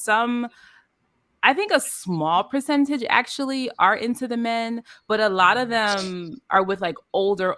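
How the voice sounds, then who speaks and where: A chatty podcast host, a woman from the Bronx. Her voice is bright and forward, recorded dry and close with no room sound. She talks in an animated, storytelling way. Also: medium pitch, no echo. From the Bronx, New York. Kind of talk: casual chat.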